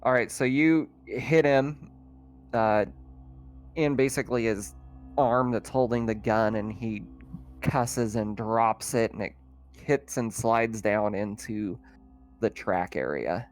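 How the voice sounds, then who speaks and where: A man's voice: fairly low pitch, takes it slowly. Casual man running a tabletop game. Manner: casual, colloquial. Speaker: game master. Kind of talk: narrating and refereeing an online tabletop game.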